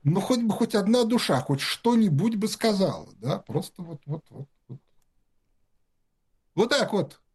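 Man spinning long stories, voice jumps all over, a bit husky, lots of ums and restarts. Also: sits high, big pitch swings, unhurried. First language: Russian. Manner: rambling storyteller, many fillers and restarts. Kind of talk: casual talk-show anecdotes.

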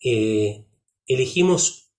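A man's voice: medium pitch, expressive, very slow, a little mellow. Uruguayan man talking reflectively. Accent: Rioplatense Spanish. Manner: reflective, uses many fillers and tag questions. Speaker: musician, interview guest. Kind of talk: relaxed conversational interview.

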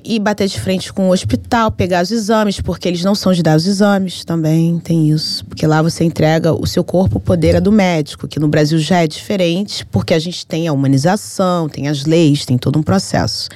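A woman from Brazil with a Brazilian accent, telling a personal story in an emotional, earnest and detailed way, halting at heavy moments. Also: fairly low pitch, warm tone, no room sound.

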